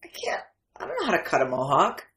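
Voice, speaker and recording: Low-voiced American woman telling her story. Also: huge pitch swings, quick pace, a bit bright. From Syria, then Detroit area in the United States. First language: not English. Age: 50s. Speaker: interview guest, memoir writer. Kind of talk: conversational personal storytelling.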